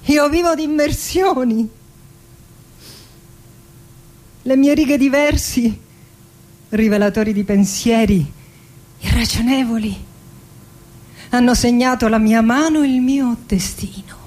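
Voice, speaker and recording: A woman acting a melancholy middle-aged poet in a theatrical monologue, speaking in a hushed, dramatic way that often slips toward whispering. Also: on the high side, unhurried, natural voice. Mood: dreamy, confessional. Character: melancholy 46-year-old woman poet bidding farewell to life. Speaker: actress and director. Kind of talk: theatrical poetic monologue with music. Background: music.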